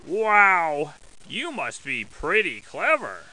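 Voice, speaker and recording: A man's voice: on the high side, wildly swooping pitch, takes it slowly, sharp tone, no echo, exaggerated voice, poor audio. A man voicing an over-enthusiastic, eerie schoolteacher in a video game, with an exaggerated cartoon voice and emphatic delivery. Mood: gleeful. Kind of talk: video game character voice lines.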